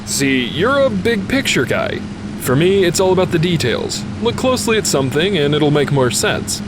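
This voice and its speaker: A man's voice: on the high side, very animated, moderate pace, background noise. A man playing a cynical, wisecracking robot detective with a sardonic delivery in an audio drama. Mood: dry, sardonic. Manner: sardonic, quippy.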